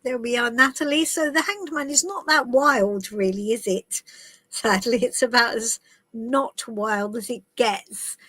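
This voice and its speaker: Woman, stuffy with a cold, tarot reader doing live chat. Slightly high, slow and meandering. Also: a bit bright, no room sound. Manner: chatty and rambling, with many ums and restarts. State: has a head cold, stuffy and coughing. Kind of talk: casual live-stream chat and card reading. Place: in the woods while travelling.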